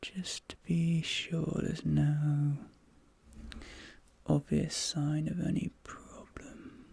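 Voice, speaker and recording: A breathy, close-up whisper with heavy crackle in it, speaking extremely slowly. The speaker plays a gentle, reassuring dentist for ASMR, offering soft short phrases in a very dry recording. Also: mid-range voice, flat delivery, no echo. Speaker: ASMR performer playing a dentist. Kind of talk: ASMR role play, whispered personal attention. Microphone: close ASMR microphone.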